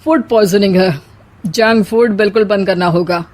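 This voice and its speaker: A man acts out a doctor in a cartoon story. His voice is high for a man, and he gives orders in a matter-of-fact way. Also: normal speed, a little mellow, lightly acted. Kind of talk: Hindi cartoon story acting.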